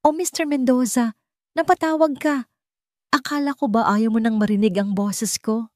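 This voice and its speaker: A woman's voice: fairly high pitch, steady tone, moderate pace, a little mellow, dry recording, clean studio sound, voice pushed up, lightly acted. A woman voicing a greedy, mocking female blackmailer in an audio story.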